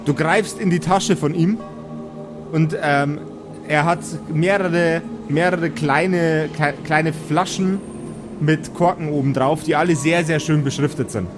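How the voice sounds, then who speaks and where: A man improvising narration as a tabletop game master, halting and repeating his words. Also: a little high, steady tone, music in the background. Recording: clearly noisy background ambience.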